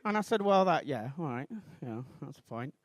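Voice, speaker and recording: A Mancunian man in his thirties doing a rambling, self-deprecating stand-up routine on a comedy club stage, bursting into shouted outrage. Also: on the high side, animated, moderate pace, dry recording. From Manchester, England.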